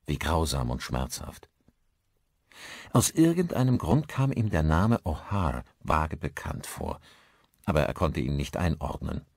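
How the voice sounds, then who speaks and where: Male narrator for horror audiobook. Low voice, dry close sound, scripted reading. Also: big pitch swings, a little slow, gravelly, a little mellow, no echo.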